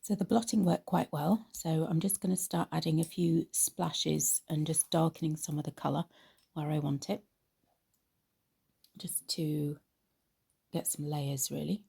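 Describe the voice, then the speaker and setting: A woman hosting an art tutorial, narrating in an unhurried, informal way, her voice slightly croaky after a cold. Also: sits low, fairly even intonation, mellow. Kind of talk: relaxed painting tutorial narration.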